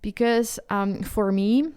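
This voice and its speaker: A Ukrainian woman, a native Ukrainian speaker, hosting a solo podcast in English with an earnest, halting delivery full of restarts. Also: mid-range voice, darkish tone, clear, resonant tone. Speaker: podcast host.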